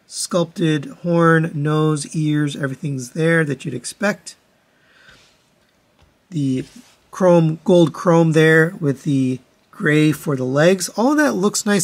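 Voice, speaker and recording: A man with a slightly high voice gives casual review commentary. He works through things methodically, in a matter-of-fact, demonstrating way, as a toy reviewer would. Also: gravelly.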